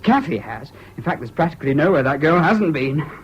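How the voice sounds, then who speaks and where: Troubled young Englishman, lightish pitch, excitable yet unsure, for a hissy vintage radio play. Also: a little fast. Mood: elated. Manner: hesitant, earnest, swinging between gloom and giddy excitement. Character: troubled, boyish, excitable but self-doubting young man. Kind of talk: British radio drama acting. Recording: dull, hissy old radio recording.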